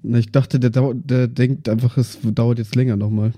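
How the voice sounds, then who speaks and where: A man's voice: mid-range voice, flat delivery, normal speed, warm tone, dry recording. A man co-hosting a podcast, talking informally in casual chat. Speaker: podcast co-host.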